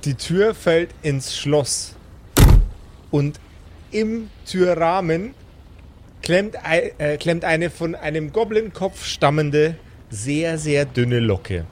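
Higher-voiced man narrating, faint noise behind. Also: takes it slowly. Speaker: game master. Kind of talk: improvised tabletop game narration.

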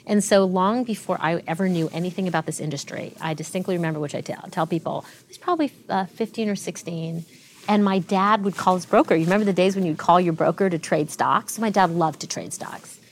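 Lower-voiced woman, animated storyteller. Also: a little fast, slight background noise. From Canada. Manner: fluent, animated storytelling with frequent restarts. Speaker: tech executive guest. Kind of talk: interview conversation.

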